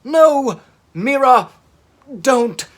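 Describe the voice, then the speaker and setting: A man as an excitable young dinosaur captain, animated. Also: very high voice, extremely slow, voice pushed up, exaggerated voice. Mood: alarmed. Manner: emphatic, dramatic delivery. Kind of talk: children's storybook character reading.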